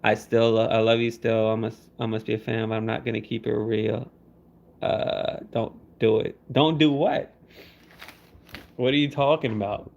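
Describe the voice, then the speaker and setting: A man's voice: medium pitch, flat delivery, moderate pace, vocal fry, darkish tone. A young man on a casual livestream, laid-back and teasing, laughing as he answers viewer comments. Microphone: phone. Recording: dull lo-fi phone audio.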